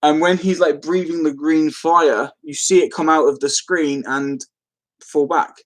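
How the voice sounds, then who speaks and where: Male live streamer, pitched a little high, chatty and rambling, quick to giggle, informal delivery. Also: fairly even intonation. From London, England. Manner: rambling, easily amused, giggly. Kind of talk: casual chat, answering live comments.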